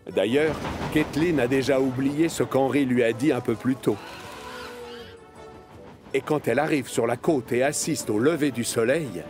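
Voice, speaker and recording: A man's voice: medium pitch, moderate pace. A man narrating a children's cartoon from a script as its storyteller. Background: background music.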